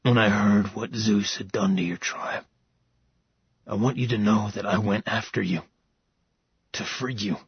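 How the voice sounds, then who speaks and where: A man voicing a brooding, cursed male dragon shifter who speaks tenderly and solemnly in a hushed tone. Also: steady tone, measured pace, pauses often, no echo, lo-fi sound, full character voice. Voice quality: gravelly, husky low voice.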